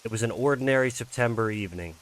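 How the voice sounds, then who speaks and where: A man's voice: mid-range voice, fast, poor audio. Man, mid-range voice, indie creator presenting and narrating his own story in a read-aloud style. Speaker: creator reading own fan-made visual novel. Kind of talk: casual intro, then dramatic read-aloud narration.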